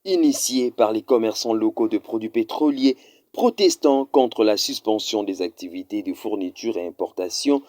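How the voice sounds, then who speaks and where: A man reading a scripted news report in a formal, measured voice-over. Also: on the low side, lively intonation, warm tone, no room sound, clean studio sound. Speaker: news narrator.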